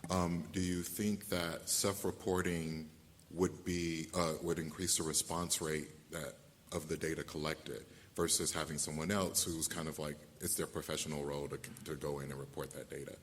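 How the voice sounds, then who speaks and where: A man's voice: extremely low pitch, flat delivery, moderate pace, slight background noise. A man on a panel, talking casually with hedges and fillers. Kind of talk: panel discussion. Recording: long hall reverb.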